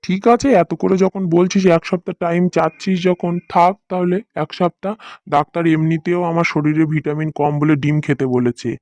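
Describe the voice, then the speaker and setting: Man as a hungry, bossy high-voiced wolf. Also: little pitch movement, a little fast, a little mellow, dry recording, natural voice. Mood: grudging. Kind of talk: cartoon voice acting.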